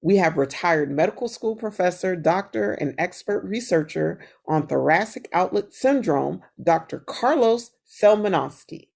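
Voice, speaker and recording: Upbeat gravelly-voiced woman host. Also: lowish voice, animated, a little slow, hardly any pauses, a little mellow. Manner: upbeat, enthusiastic, many fillers and restarts. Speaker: podcast host. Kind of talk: interview hosting.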